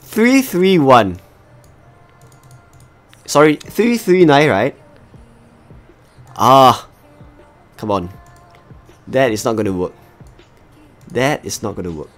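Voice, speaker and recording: A man's voice: medium pitch, sing-song, takes it slowly, pauses often, faint music behind. A man from Singapore with a Singaporean accent, chatting casually to viewers as he hosts a live game stream. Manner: chatty, talks to viewers as he plays. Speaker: livestream host.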